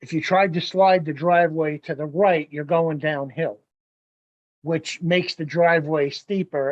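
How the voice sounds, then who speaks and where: A man presenting his site plans to a village board, explaining in a practical, plain-spoken way. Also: fairly high pitch. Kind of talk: explaining at a public meeting. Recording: lo-fi meeting audio.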